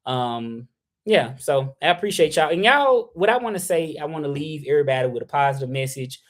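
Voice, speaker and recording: A man's voice: fairly high pitch, expressive, a little fast. Rambling Southern man on a livestream. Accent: Southern US (Memphis). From Memphis, Tennessee, US South. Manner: loose and rambling, with many fillers, "like" and "you know". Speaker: freelance interpreter hosting a livestream. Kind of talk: casual livestream talk.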